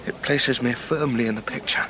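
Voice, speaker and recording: An actor playing a distraught, domineering Edwardian English husband in a period radio drama, agitated, stammering and imperious. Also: male voice, mid-range voice, moderate pace, crowd noise, lightly acted, rough recording. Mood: insistent.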